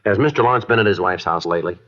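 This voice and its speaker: American police detective, really low voice, super fast clipped questions, muffled old radio sound. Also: male voice. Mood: businesslike. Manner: clipped, matter-of-fact, deadpan. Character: polite, businesslike male police detective questioning a witness. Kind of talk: old-time radio detective drama acting. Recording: dull, lo-fi old radio recording.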